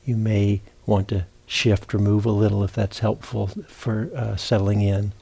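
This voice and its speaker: Soft mellow guy leading a guided meditation, lowish voice, gentle and unhurried, lots of pauses. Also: little pitch movement, gravelly, husky. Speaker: meditation teacher.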